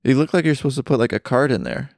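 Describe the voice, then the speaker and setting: A man's voice: medium pitch, racing, creaky, darkish tone, no room sound, clean studio sound. A man co-hosting a podcast in casual chat, wry and teasing, recorded in a friend's basement. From Britain. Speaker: podcast co-host.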